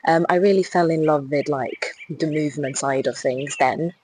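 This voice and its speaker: A woman's voice: low-pitched, moderate pace, darkish tone, slight background noise. A young woman from Hungary with a Hungarian accent, chatting enthusiastically to camera as she walks along a river path. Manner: chatty and enthusiastic, with restarts and light laughs. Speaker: fitness ambassador. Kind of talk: casual vlog while walking.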